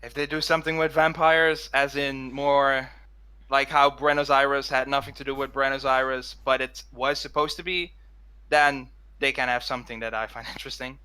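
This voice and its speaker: A man's voice: medium pitch, fairly even intonation, normal speed, pushed and bright, clean tone, rough recording. A man chatting casually as a podcast co-host, often cutting his own sentences short. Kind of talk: casual chat.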